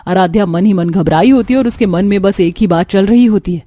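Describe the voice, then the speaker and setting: A woman's voice: mid-range voice, brisk, warm tone, no room sound. A woman narrating a scripted cartoon story. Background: faint music.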